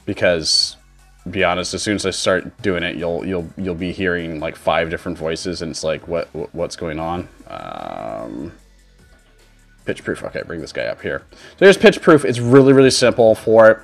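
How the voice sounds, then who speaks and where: A man in his thirties giving a casual software tutorial as a streamer, explaining things in an informal, rambling way. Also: low-pitched, sing-song, normal speed, creaky, faint music behind. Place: indoor home streaming setup.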